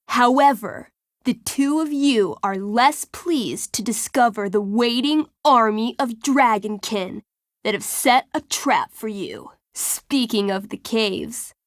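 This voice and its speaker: A woman's voice: fairly high pitch, no echo, studio quality. A woman playing a friendly, nerdy teenage girl in a video game, casual and playful, with a game master's flair for drama. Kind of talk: naturalistic video game voice acting.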